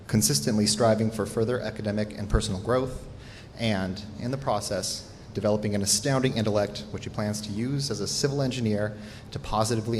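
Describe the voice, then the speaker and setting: A middle-aged teacher with a lowish voice reads a formal, praising introduction from the stage, now and then slipping in an "uh." The sound rings through a large reverberant hall, and a faint hum sits underneath it. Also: male voice. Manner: formal, warm praise with occasional uh fillers. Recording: echoey hall with faint noise.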